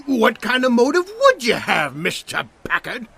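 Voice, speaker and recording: An indignant farmer in a comic radio play. The voice is high and raspy, with a sharp, forceful edge. He blusters and accuses, full of grievance. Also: huge pitch swings, measured pace, exaggerated voice. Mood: accusing, scornful. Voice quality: raspy, creaky. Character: indignant, accusing older male farmer with a grudge. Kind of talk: comic audio drama acting.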